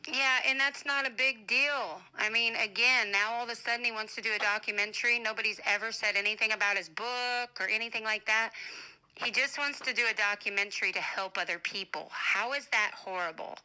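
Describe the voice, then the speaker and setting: A woman's voice: on the high side, brisk, bright, forceful tone, clean tone, poor audio. A middle-aged woman speaking in an informal voice-message monologue, blunt, indignant and defensive, swearing freely. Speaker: advocate and online broadcaster.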